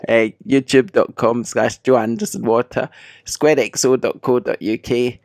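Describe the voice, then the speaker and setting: A man hosting a podcast with a cold that makes his voice croaky, chatting casually and laughing at his own slips. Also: mid-range voice, fairly even intonation, measured pace, darkish tone, no echo, clean studio sound. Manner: chatty, self-mocking, laughs often. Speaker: podcast host. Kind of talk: casual chat.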